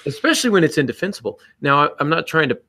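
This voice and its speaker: A man's voice: on the high side, very animated, rapid-fire, bright, forceful tone, no room sound, clean studio sound. A man co-hosting a podcast, speaking casually but emphatically and bluntly, acting out little exchanges as he gives advice. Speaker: podcast co-host and writer.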